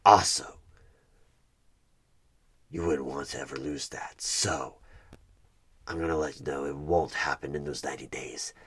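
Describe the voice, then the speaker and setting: Evil tempter for horror audio roleplay: slow, forceful, sharp-edged, breathy delivery, smooth-talking and sinister. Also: male voice, extremely low pitch, steady tone, full character voice. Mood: persuasive, menacing. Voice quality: husky, breathy, half-whispered. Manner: slow, deliberate, coaxing with dramatic pauses. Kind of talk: creepy ASMR horror roleplay monologue.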